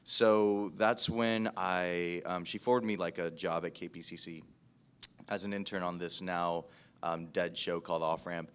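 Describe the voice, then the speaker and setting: A man's voice: deep voice, steady tone, unhurried, fairly bright tone, clear, resonant tone. An American man around his thirties, a podcast producer telling his career story in an informal, self-deprecating way at a panel.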